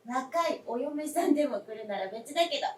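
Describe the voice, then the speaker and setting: A woman's voice: high voice, animated, fairly quick. A woman playing a genteel, candid grown woman of the family in a stage radio drama, with polite old-fashioned feminine speech. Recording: roomy, distant stage recording.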